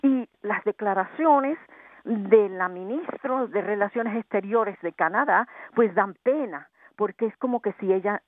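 A woman's voice: mid-range voice, unhurried, no echo. A Venezuelan-born woman sociologist speaking in an impassioned, indignant way as a guest, heard over the phone. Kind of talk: phone interview. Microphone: telephone. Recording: tinny phone line.

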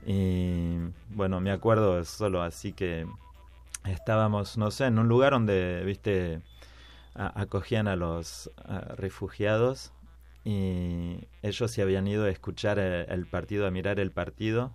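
Deep-voiced Argentine man telling his story. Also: fairly even intonation, unhurried, a little mellow, clean tone, dry recording. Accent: Argentine Spanish. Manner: conversational, with hesitations and repeated words. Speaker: musician guest. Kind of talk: radio interview, personal storytelling.

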